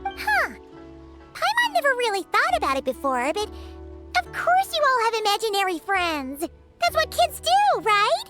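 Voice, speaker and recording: Squeaky, bouncy little fairy girl for a game, very high and lively, faint music under it. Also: moderate pace, heavily acted. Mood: curious. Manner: bouncy, squeaky, talks about herself in third person. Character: tiny floating fairy girl companion, chirpy and excitable.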